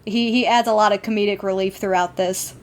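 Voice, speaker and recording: A woman's voice: medium pitch, steady tone, brisk. A woman co-hosting a podcast, discussing a TV show in a casual, enthusiastic, conversational way. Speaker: podcast co-host.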